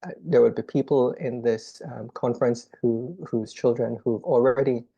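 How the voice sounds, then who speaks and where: A middle-aged man with a Jamaican accent giving a measured, explanatory conference lecture as a children's neurologist, pausing often on "um". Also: medium pitch, fairly even intonation, vocal fry, dark tone, slightly rough, muffled recording. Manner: measured, explanatory, frequent "um" pauses.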